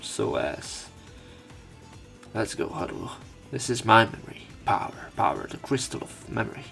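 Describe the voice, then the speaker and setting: Male visual novel narrator, deep voice, tired delivery with swings in pitch, faint music behind. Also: animated, moderate pace, creaky. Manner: subdued, tired-sounding delivery. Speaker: let's play narrator. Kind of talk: reading a visual novel aloud with casual commentary. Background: game music.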